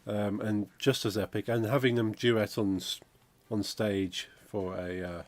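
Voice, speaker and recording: A man, croaky from a cold, chatting casually on a game dev stream and reading out chat. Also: lowish voice, fairly even intonation, a little slow, no room sound. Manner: rambling, chatty, reads out chat messages. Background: funky music playing.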